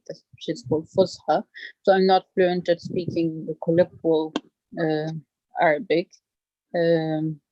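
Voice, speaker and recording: A woman's voice: fairly low pitch, gravelly, mellow, no echo. A woman from northern Pakistan, a guest lecturer answering questions modestly with many fillers. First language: Burushaski. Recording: dry, lo-fi call audio.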